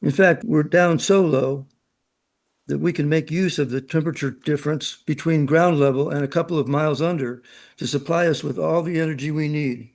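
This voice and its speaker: A man's voice: fairly high pitch, fairly even intonation, normal speed, a little mellow, lightly acted. A man acting in a radio drama as a chatty, knowing, worldly man with a breezy, opinionated way of talking.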